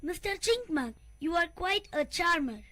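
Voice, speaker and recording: A very high, slow-talking, cheeky little male creature, as a woman plays him, exclaiming and fussing like an excited child. It is storybook voice acting with an easy, stretched-out delivery. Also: exaggerated voice.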